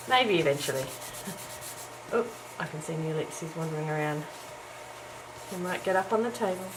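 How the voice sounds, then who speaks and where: Middle-aged woman, low croaky morning voice, chatty crafting vlogger full of ums and little laughs, camera-mic sound with audible hiss. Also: animated, unhurried, long pauses. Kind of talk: casual chat while crafting. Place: home, early morning. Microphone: camera's built-in mic. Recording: noisy home camera recording.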